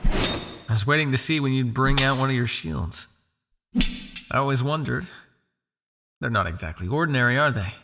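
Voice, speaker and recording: Man acting a smug, creaky-voiced vampire crime lord. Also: mid-range voice, normal speed, pauses often, over loud music, lightly acted. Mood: smug, probing. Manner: taunting, silky, condescending with sighs and soft shushing. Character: smug, possessive male vampire crime lord taunting his captive. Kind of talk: ASMR roleplay voice acting.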